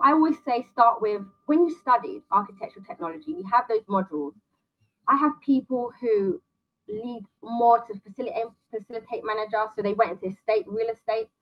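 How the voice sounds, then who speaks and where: A woman as an interview guest, briskly listing options and giving direct career advice. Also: on the high side, dull audio.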